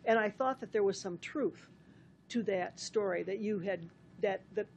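Woman, interviewer, gravelly vocal fry, hesitant with fillers, faint hiss behind. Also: medium pitch, moderate pace. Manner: hesitant, lots of ums. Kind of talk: radio interview question.

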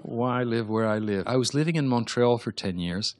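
A man's voice: medium pitch, fairly quick, darkish tone, no room sound. A Quebec-born novelist with French as his first language, talking thoughtfully in a radio interview with frequent restarts. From Quebec, Canada. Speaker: novelist guest.

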